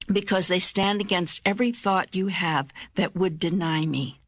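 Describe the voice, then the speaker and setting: An older woman voicing God as a calm, wise, authoritative teacher in an audiobook, with measured, emphatic phrasing and a husky voice. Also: fairly low pitch, dry recording, studio quality, lightly acted. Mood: firm, admonishing. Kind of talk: audiobook dialogue reading.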